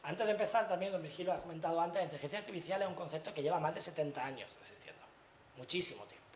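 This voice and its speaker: Andalusian spanish guy, fairly high voice, chatty talk to a room of students, echoey hall, faint hum behind. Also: racing. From Granada, Spain. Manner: chatty, asks the audience questions, frequent tag questions. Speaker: invited speaker. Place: university lecture hall.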